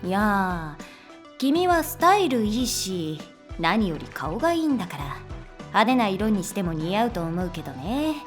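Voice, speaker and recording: A laid-back woman teacher in an audio drama, with an animated, medium-pitched voice. She talks bluntly and casually with a teasing streak. Soft music plays faintly behind her. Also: unhurried. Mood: teasing, gentle. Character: easygoing, teasing adult woman teacher who loves beer. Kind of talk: Japanese voice drama acting.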